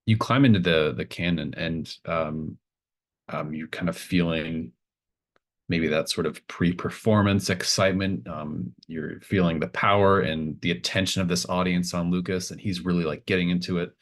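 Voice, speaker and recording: A man with a very deep voice runs a tabletop horror game. He improvises the narration casually, pausing and restarting as he builds each image. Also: fairly even intonation. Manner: halting, casual phrasing as he improvises scenes. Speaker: game master.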